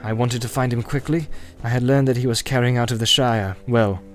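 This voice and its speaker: A man voicing a grim, wary, secretive wandering ranger with a deliberate, cautioning and wry manner in an audiobook. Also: mid-range voice, fairly even intonation, soft background music, close to an everyday voice. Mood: earnest, reassuring.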